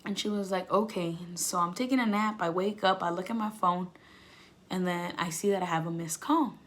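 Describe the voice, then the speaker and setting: Young woman vlogger w a lowish voice, super casual storytime, slangy n sweary, does the back and forth dialogue. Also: fairly quick, a bit bright. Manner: candid, slangy, swearing freely; acts out past conversations. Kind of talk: casual personal storytelling vlog.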